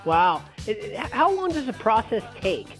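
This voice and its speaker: A man's voice: high voice, sing-song, measured pace, a bit bright. Male call host prompting over music. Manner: prompting, approving short reactions before questions. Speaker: host interviewing a guest. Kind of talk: promotional interview on a conference call.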